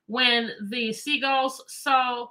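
A middle-aged American woman reading aloud to children as a teacher, animated and chatty, often asking questions. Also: a little high, very slow. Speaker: teacher and read-aloud host. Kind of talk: interactive children's read-aloud lesson. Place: indoor room with bookshelves.

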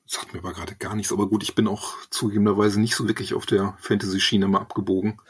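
A man's voice: on the low side, level tone, quick pace, husky. A man in his forties from the Ruhr area with a light Ruhr accent, answering interview questions in a hesitant, casual way. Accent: Ruhr German. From Essen, Germany. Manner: hesitant, many ums and restarts. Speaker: podcast guest, writer and project manager.

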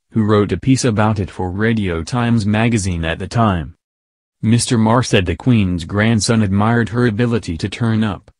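Low-voiced man reading news copy. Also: a little mellow, no echo. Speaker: news narrator. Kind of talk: scripted news narration.

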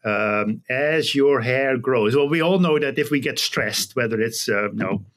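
A middle-aged man with a Dutch accent talks as an expert guest in an interview. His pitch is medium, and he explains things haltingly, doubling back on words as he goes. It sounds like a video call from a small office. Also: a bit bright. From Netherlands. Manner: hesitant, halting, often repeating words. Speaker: guest, animal genetics researcher. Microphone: computer video call.

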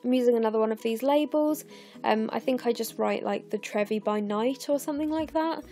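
A young English woman with a croaky, tired voice talks through her scrapbooking at home late at night. She sits a little higher than most women and has a mellow, soft tone, rambling along with plenty of fillers. Faint music plays underneath. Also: fairly quick. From England. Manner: chatty, rambling, frequent fillers. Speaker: scrapbooking vlogger. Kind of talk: casual voice-over narration of a craft process.

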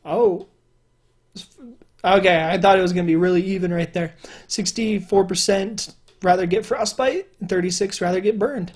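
A young man with a fairly high voice, often mistaken for a woman, chatting casually and rambling to camera at a desk at home. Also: normal speed. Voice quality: higher-pitched male voice, sometimes mistaken for a woman. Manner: chatty, rambling, many fillers and restarts. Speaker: YouTuber. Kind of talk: casual solo commentary. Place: indoor room at home.

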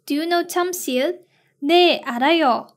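High-pitched woman reader, extremely slow, evenly spaced lesson delivery. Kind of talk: slow reading of phrases and translations.

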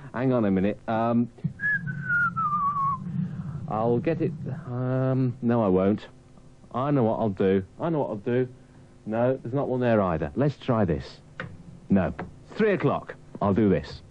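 A man working as a radio DJ, chatting on air and muttering to himself in a flustered way. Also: medium pitch. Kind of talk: unscripted on-air chat. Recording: dull lo-fi radio recording.

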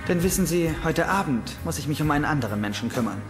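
An actor playing an earnest, insecure young doctor in a German-dubbed TV comedy-drama. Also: male voice, fairly high pitch, expressive, fast, music in the background, close to an everyday voice. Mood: earnest, pleading.

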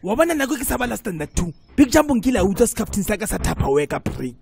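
Male actor as brash cartoon hustler, South African accent, excitable, fast-talking, wildly swooping delivery. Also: sits high, creaky, slightly rough, full character voice. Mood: excitable, earnest. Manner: loud, excitable, mixes English into vernacular. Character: brash, scheming, hot-tempered South African cartoon man. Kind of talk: comedic cartoon dialogue.

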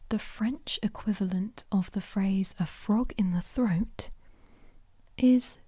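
A woman hosting an ASMR trivia quiz in a soft whisper, chatty and quick to correct herself. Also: medium pitch, husky, no room sound. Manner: chatty, self-correcting, giggly asides. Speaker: quiz host.

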